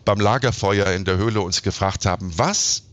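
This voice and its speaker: A man's voice: on the low side, moderate pace, fairly bright tone, no echo, highs cut off. A man being interviewed, telling anecdotes in a casual, colloquial, wry way and acting out bits of dialogue. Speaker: interview guest, reporter who does self-experiments. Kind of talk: casual anecdotal storytelling in a conversation.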